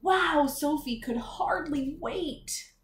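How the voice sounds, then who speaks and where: High-voiced woman reading aloud in echoey room. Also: takes it slowly. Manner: expressive, encouraging, questioning the young listener. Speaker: teacher. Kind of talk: children's picture book read-aloud with asides. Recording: echoey room with faint noise.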